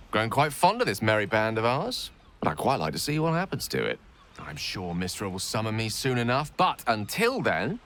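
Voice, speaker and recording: A theatrical, warmly witty wizard from a video game, speaking. His voice sits fairly low and moves through wide, expressive swings. He phrases everything in a measured, eloquent way. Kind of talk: video game cutscene acting.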